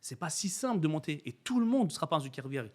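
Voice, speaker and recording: A man's voice: a little high, sing-song, quick pace, a little mellow. A man from France speaking as a podcast guest in a conversational interview, emphatic and repeating phrases for effect.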